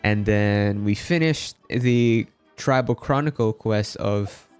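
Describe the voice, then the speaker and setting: A man's voice: lowish voice, takes it slowly, clear, resonant tone, faint music behind. A man from the Philippines chatting casually as he hosts a game livestream. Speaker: game streamer.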